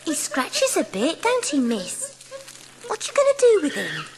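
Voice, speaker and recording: A woman's voice: very high voice, wildly swooping pitch, measured pace, noisy background, full character voice. A woman playing a humble, curious young servant girl with a working-class English accent and colloquial, deferential speech in an audiobook drama. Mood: curious, shy.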